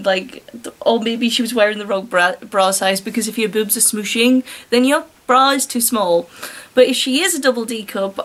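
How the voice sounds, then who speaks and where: A woman's voice: mid-range voice, fairly bright tone. A woman hosting a casual story reading, mixing narration with chatty, wry and mocking commentary. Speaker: host reading amateur fiction aloud.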